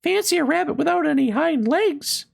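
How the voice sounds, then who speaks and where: Very high, brash wild rabbit fellow. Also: lively intonation, moderate pace, vocal fry, heavily acted. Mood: taunting. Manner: boastful, taunting, blunt. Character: brash, teasing young male wild rabbit.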